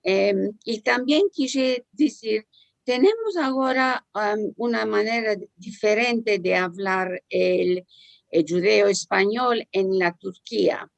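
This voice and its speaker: A woman speaks slowly in a measured, reflective way, her voice gravelly and textured. The sound is dry and close, with no room echo, as she puts her question to the panel. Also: mid-range voice. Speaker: audience member asking a question. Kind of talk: online discussion. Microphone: video call.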